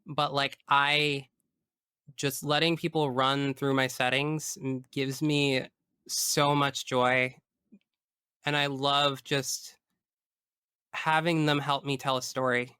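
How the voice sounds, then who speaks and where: Bright, clear-voiced man chatting enthusiastically. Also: mid-range voice, slow, pauses often, no room sound, clean studio sound. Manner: emphatic, enthusiastic, expressive. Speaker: guest; tabletop game master. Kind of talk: casual interview chat about personal experience.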